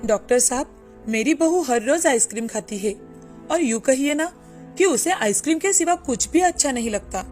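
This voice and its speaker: Fretful high-voiced mother-in-law, played by a woman. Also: animated, normal speed, a little mellow, soft background music. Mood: complaining. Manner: complaining.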